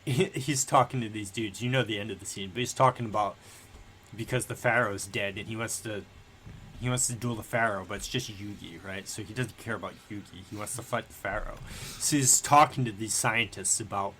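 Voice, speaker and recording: A man's voice: lowish voice, a little fast, fairly bright tone. A man co-hosting a podcast in casual chat, retelling things in a slangy, sweary, laughing way. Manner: laughs often while talking. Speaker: podcast co-host.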